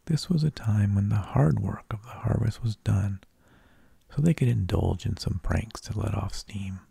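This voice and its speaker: A man whispering an ASMR narration in a hushed, breathy, gravelly voice, unhurried, with drawn-out phrases and pauses, close to the microphone. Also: fairly low pitch, dry recording. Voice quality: breathy, mostly unvoiced whisper with gravelly creak. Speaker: ASMR host.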